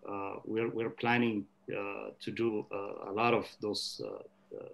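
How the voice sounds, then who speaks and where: A middle-aged or older man from Ethiopia speaking off the cuff as an interview guest, halting and hesitant, with long pauses. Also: on the low side, little pitch movement, creaky, fairly bright tone. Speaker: diaspora organization member, interview guest. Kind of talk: unscripted interview talk.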